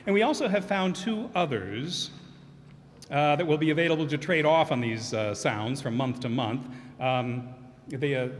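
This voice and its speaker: Rambling man thanking a cast onstage. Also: fairly high pitch. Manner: rambling, with frequent fillers and self-corrections. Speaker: host and cast member of a community radio theater group. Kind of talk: curtain-call speech thanking cast and crew. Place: live performance hall before an audience. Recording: reverberant hall, faint background noise.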